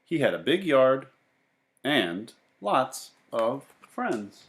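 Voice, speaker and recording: Male storyteller for picture books, slow and deliberate, widely varied pitch. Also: sing-song, slight background noise. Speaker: children's book reader. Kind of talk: picture-book read-aloud with casual asides.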